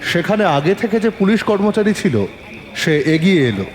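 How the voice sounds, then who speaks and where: Expressive male story narrator, widely varied pitch. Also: moderate pace. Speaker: narrator and lead actor of an audio story. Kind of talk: dramatic scripted first-person story narration.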